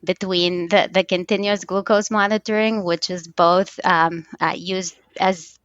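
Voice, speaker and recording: A woman in her thirties from Romania, a guest on an interview, speaking in a measured way with frequent ums. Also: lowish voice, fairly even intonation, fairly bright tone, no room sound, muffled recording. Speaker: data scientist guest. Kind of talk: conversational interview.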